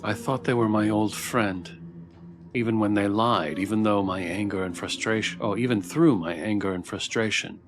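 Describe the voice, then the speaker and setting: A bewildered, wronged spirit read aloud by a man presses for answers in a fairly low voice. The visual novel dialogue is earnest and questioning, with some music behind it. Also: moderate pace, lightly acted. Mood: hurt. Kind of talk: reading game dialogue aloud in character.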